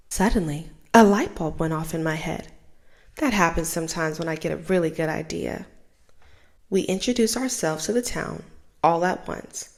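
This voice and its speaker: Young woman storytime host, lively sing-song read-aloud, plenty of pitch movement. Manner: expressive, animated storytelling. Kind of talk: children's book read-aloud.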